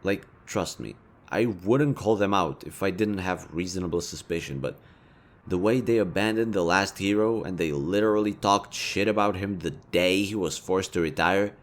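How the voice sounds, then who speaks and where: A man performs a cocky male villain with a deep voice, made for an intimate audio roleplay. He speaks directly to the listener in a loose, conversational way. His manner is teasing and smug, with real care and earnestness underneath. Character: smug, teasing yet caring male supervillain, city-wide rival.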